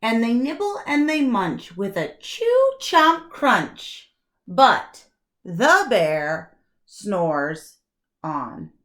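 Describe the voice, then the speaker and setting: A woman reading a children's storybook aloud like a kindergarten teacher, expressive, with deliberate dramatic pauses and emphasis. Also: mid-range voice.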